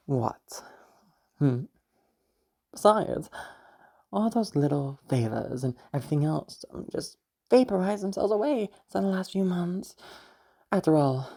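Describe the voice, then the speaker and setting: A woman voicing a cruel, pious, domineering mother in an amateur audio roleplay, condescending and taunting, with drawn-out pauses and hums. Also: low-pitched, vocal fry, warm tone, heavily acted.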